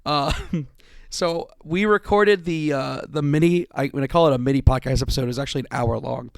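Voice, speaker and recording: A man's voice: medium pitch, brisk, vocal fry, no echo. A man hosting a casual podcast with a sore, worn-out voice, rambling, swearing casually and restarting his sentences. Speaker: podcast host.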